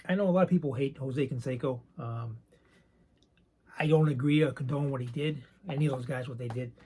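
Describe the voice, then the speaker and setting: A soft-spoken man with a raspy voice, chatting casually and rambling a bit while showing his collection. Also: mid-range voice, brisk. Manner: soft-spoken, not loud; rambling, hesitant with many ums. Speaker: card collecting channel host. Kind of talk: casual show-and-tell chat.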